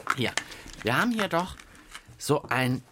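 Man, average pitch, swooping intonation, playful chatty kids' podcast host. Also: big pitch swings, unhurried, pushed and bright, slight background noise. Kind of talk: lively unscripted co-host chat for children.

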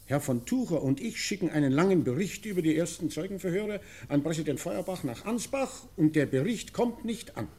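Husky, officious town mayor. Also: male voice, a little high, animated, fairly quick, few pauses, a little mellow. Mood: concerned. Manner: formal, declarative. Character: concerned, officious town mayor, formal and measured. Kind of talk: radio play acting.

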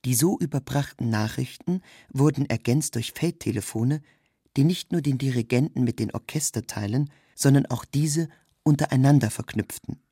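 Husky man reading in a dry studio. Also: mid-range voice, moderate pace, warm tone, no room sound. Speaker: narrator. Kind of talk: scripted literary narration.

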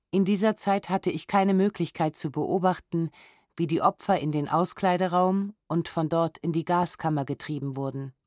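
A woman reading a historical testimony as scripted narration in a measured, sober manner. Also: on the low side, a little mellow, dry recording, studio quality. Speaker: reader of historical documents.

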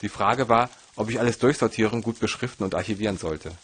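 A man narrating his own story from a script in a measured, literary storytelling manner. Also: fairly low pitch, husky. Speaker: narrator and filmmaker.

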